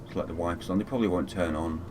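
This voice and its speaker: A man with a very low voice talks casually as he streams a flight simulator. He is low-key, often muttering through procedures to himself, and clear noise runs behind his speech. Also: very fast. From Fiji. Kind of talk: casual live gaming commentary.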